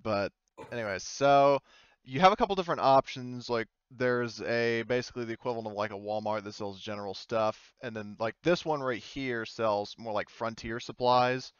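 A man's voice: medium pitch, moderate pace, clean tone, no room sound, lo-fi sound. A man running a tabletop game as game master, narrating casually and off the cuff in colloquial language.

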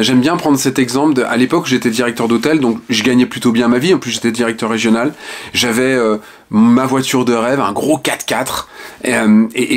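A man in his fifties from the Ardennes, frankly telling his life story to the camera at home with hesitant fillers. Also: mid-range voice. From Ardennes, France. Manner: frank and reflective, many hesitant "euh" fillers. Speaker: author and online speaker. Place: small home room with shelves and lamp.

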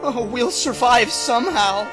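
Woman as a slow-talking teasing schoolboy. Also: fairly high pitch, expressive, over music. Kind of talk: fan manga dub acting.

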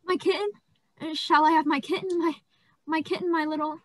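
Woman playing a high-pitched, deranged asylum patient. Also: fairly even intonation, a little fast, dry recording, lightly acted. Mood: desperate pleading. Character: deranged male asylum patient, pleading, gleeful, zealous. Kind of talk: radio play acting.

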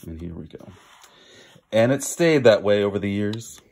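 A man reading a storybook aloud, sometimes pausing to chat with listeners. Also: on the low side, animated, very noisy. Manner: pauses to chat with listeners and explain words. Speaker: storybook reader. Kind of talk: animated read-aloud storytelling.

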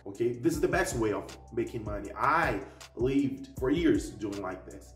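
A young man with a Portuguese accent explains things casually and emphatically to viewers, checking in as he goes. His pitch is average for a man. He sounds set back from the mic in an echoey living room, with music faintly behind him. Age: young adult. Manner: emphatic, often tags sentences with "okay?". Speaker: YouTube host. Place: living room at home, indoors. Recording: roomy echo, distant mic, faint music.

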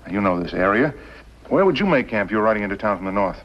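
An American actor playing a cool, calculating villain in a 1950s TV western, speaking deliberately and prodding with leading questions. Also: male voice, lowish voice, very animated. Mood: cool scheming. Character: cool, calculating male villain plotting murder, smugly patient. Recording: dull old 1950s television soundtrack.

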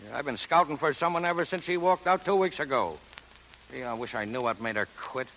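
An actor playing a slangy, exasperated show producer who wisecracks in 1930s radio style. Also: male voice, medium pitch, fairly quick, fairly bright tone, natural voice. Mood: worried. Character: harried, fast-talking, wisecracking male show producer. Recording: noisy 1930s radio broadcast.

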